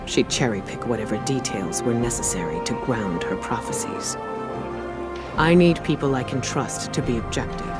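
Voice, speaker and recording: A man plays a resolute military leader from a video game. He is stern and authoritative, speaking at middle pitch in measured sentences, with music playing behind him. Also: close to an everyday voice. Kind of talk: scripted game cutscene monologue.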